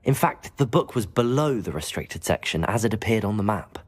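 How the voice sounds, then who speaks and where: An actor playing a studious, polite teenage wizarding student in a video game, speaking in an earnest, respectful, matter-of-fact way. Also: male voice, lowish voice, animated, normal speed. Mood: earnest, explaining. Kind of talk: video game cutscene acting.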